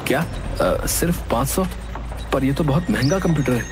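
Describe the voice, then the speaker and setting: A man's voice: medium pitch, lively intonation, moderate pace, lightly acted. A man playing an eager young village man with business dreams in a cartoon story. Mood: dismayed. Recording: music behind the voice.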